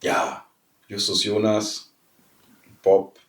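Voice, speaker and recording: A man's voice: fairly low pitch, extremely slow, far from the mic, a crowd in the background. A middle-aged man reminiscing casually with friends as a podcast host. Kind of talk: casual nostalgic chat among friends. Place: echoey room, away from the mic. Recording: echoey, off-mic room sound.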